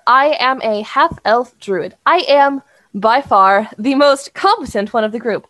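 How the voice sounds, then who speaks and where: A woman's voice: fairly high pitch, a bit bright, dry recording. A self-assured woman introducing a character as a player on a role-playing game podcast.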